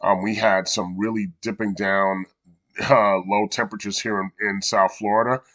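A man's voice: lowish voice, steady tone. A man hosting his own podcast in a casual, earnest monologue, sounding congested from a cold. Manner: warm, measured and earnest, with small restarts. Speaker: podcast host and coach.

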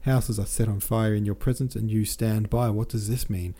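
A man voicing a male staff officer with a German accent who shouts orders officiously. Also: fairly low pitch, fairly even intonation, moderate pace, close to an everyday voice. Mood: angry. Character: male staff officer, pompous, then apologetic.

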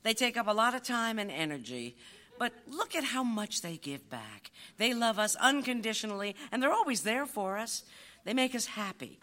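A woman's voice: fairly high pitch, very animated, normal speed, sharp tone. A woman playing a motherly, reassuring family dog in a live comic radio play. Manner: soothing, mentoring. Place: live stage performance at an audio theatre festival.

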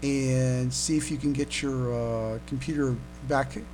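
A man co-hosting a casual fan podcast, speaking haltingly and often repeating his words as he comments. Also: medium pitch, fairly even intonation, over a noisy crowd. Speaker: podcast co-host. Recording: noisy home recording with hum.

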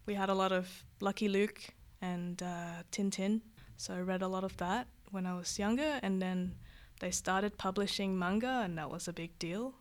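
A woman from Vietnam speaks as a guest on a podcast, her voice smooth and clear at a middle pitch. She chats casually and rambles a little, correcting herself often with many fillers. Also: moderate pace. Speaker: guest illustrator. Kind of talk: casual interview chat.

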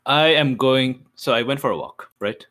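A man's voice: medium pitch, normal speed, sharp tone. A man chatting casually as a player in a tabletop roleplaying game, thinking aloud hesitantly as he decides.

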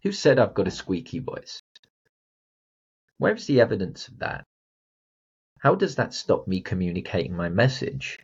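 Blunt man, husky voice. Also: lowish voice, very animated, measured pace, long pauses, highs cut off. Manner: emphatic, blunt, direct address to listener. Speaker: podcast host and confidence coach. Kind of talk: motivational solo podcast.

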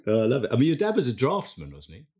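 A man hosting a podcast, introducing and interviewing his guest in a brisk, chatty manner. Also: mid-range voice, huge pitch swings, a little mellow, husky. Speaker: podcast host. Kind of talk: interview host chat.

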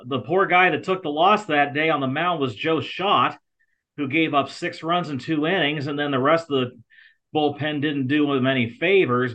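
Bright, forceful American man talking sports. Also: on the high side. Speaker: guest sports contributor, college athletic communications director. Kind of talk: conversational sports talk. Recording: slightly dull, lo-fi sound.

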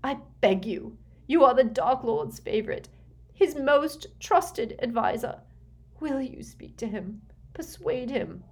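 Storybook role: desperate noblewoman and mother , acted by a woman reader, high, tearful, sobbing, very animated delivery. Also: unhurried, hardly any pauses, breathy edge, exaggerated voice. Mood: desperate, begging. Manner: sobbing, beseeching. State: crying.

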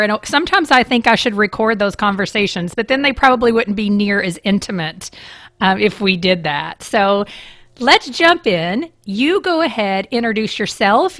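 A woman hosts a podcast, reading her appeal from a script and then chatting warmly with her guest. Her pitch moves up and down a great deal as she talks. The recording is dry and close-miked. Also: no room sound. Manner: friendly, encouraging. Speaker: podcast host. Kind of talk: scripted intro and interview chat.

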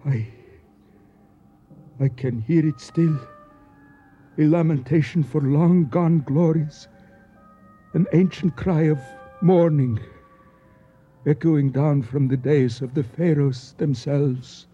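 Mellow, courtly storyteller. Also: male voice, fairly high pitch, steady tone, breathy edge, faint music behind. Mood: reverent, mournful. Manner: formal, courteous, florid, deliberate phrasing. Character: courtly Egyptian man, learned, nostalgic storyteller. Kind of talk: radio drama acting. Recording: dull, muffled old radio recording.

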